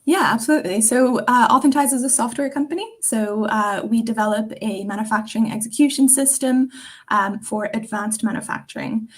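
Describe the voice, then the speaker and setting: A young woman from Singapore, a guest on a video call, answering interview questions in a friendly, relaxed way with frequent ums. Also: a little high, a little fast. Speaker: guest, customer success manager.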